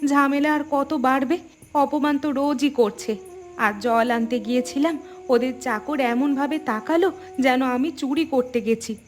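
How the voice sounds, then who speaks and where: A woman's voice: high-pitched, steady tone, measured pace. A woman voicing the worried, hurt wife of a poor fisherman in a cartoon, plaintive. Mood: aggrieved. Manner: aggrieved, anxious, plaintive. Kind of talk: scripted cartoon dialogue.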